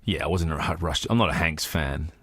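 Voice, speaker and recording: A man with a low, deep voice talks casually as a podcast co-host. His delivery is conversational and informal. The sound is dry, with no room echo. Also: fairly quick, fairly bright tone, slightly rough. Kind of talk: casual chat.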